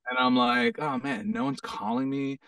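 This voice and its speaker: A man from the United States chatting casually as a guest and telling stories in an animated way. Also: mid-range voice, moderate pace, creaky, clear, resonant tone, no echo, clean studio sound, recorded from a distance. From United States: Hawaii and Arizona. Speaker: podcast guest, actor and stunt performer. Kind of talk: casual chat, storytelling.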